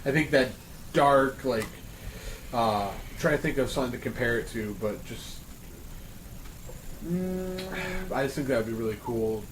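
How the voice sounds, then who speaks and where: A man's voice: medium pitch, unhurried, long pauses, a little hiss or hum, rough recording. A man in a casual round table discussion, hesitant and searching for his words. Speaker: podcast panelist.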